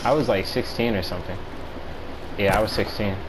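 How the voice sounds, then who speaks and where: An American man with a fairly low voice chats casually while gaming. His delivery is offhand, slangy and profane. Game gunfire and vehicle noise are clearly heard behind him. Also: fairly quick. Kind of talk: casual storytelling chat during gaming. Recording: noisy game audio with gunfire. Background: video game gunfire and vehicle sounds.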